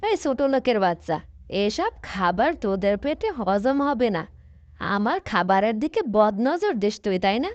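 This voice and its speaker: Snobbish cartoon mistress, female actor: sneering, bossy, very animated delivery. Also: mid-range voice, moderate pace, dry recording, muffled recording, close to an everyday voice. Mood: angry, contemptuous. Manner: sneering, domineering, insulting. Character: haughty, spiteful rich lady scolding her maid. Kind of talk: cartoon dialogue. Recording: faint noise, birdsong behind.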